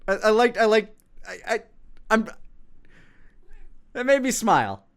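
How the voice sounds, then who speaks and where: A man's voice: sits high, big pitch swings, a little slow. A man in his thirties giving casual reaction commentary as host, rambling with joking, self-deprecating asides. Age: 30s. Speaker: host commenting on own abridged anime series.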